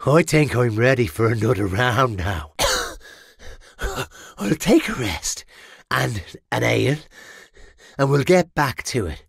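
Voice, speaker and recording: A man's voice: mid-range voice, sing-song, slow pace, vocal fry, pauses often, slightly rough, no room sound, clean studio sound, heavily acted. A man with an Irish accent playing a brash, money-minded medieval mercenary in a video game, roguish and theatrical. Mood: defiant. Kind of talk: game combat barks.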